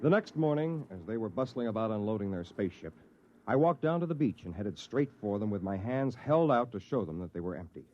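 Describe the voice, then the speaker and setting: Low-voiced, wary lone Earthman. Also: sing-song, brisk, a little mellow. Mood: wry, wary. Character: wary, exasperated lone Earthman narrating his ordeal. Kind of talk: 1950s radio drama, first-person narration and dialogue. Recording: noisy, dull vintage 1950s radio recording.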